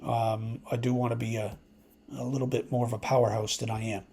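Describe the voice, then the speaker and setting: Man, medium pitch, casual video monologue, halting and rambling, reflective, noticeable background noise. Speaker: amateur radio video channel host. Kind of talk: casual unscripted monologue to subscribers. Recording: clear steady background noise.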